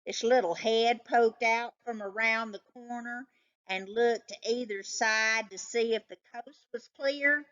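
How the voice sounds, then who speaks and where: A woman voicing an excitable, suspicious male cat from a children's storybook, telling his tale with dramatic suspense. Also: a little high, unhurried, lo-fi sound. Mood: dramatic, conspiratorial.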